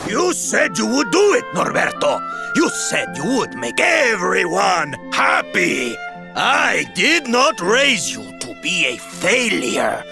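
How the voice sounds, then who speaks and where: A man's voice: sits high, huge pitch swings, slow, gravelly, exaggerated voice. An actor playing a proud, stern old knight with a Spanish accent, scolding imperiously and dramatically as a hot-tempered father in a video game cutscene. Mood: furious. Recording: music behind the voice.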